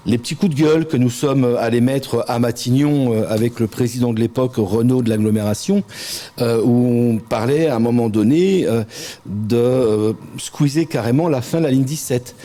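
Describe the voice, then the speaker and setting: A middle-aged man, a local elected official, answering interview questions in a measured way with frequent hesitant pauses. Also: medium pitch, mellow. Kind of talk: interview answers, political talk.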